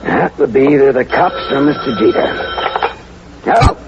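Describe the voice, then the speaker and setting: Lead private detective, radio noir, male actor: wry, slangy, hard-boiled, expressive delivery, clearly noisy vintage recording. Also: medium pitch, normal speed, dull audio, lightly acted. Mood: brusque. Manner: sardonic, slangy. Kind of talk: 1940s radio acting, noir narration and dialogue.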